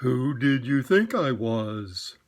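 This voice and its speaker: A man voicing a slow, humming, food-loving bear, with a low, unhurried, sing-song manner. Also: animated. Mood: puzzled.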